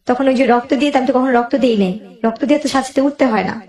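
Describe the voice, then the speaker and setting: A woman's voice: sits high, quick pace, a little mellow, reverberant. A woman with a Bangladeshi accent tells her own life story as a guest, emotionally, quoting both sides of conversations as she goes.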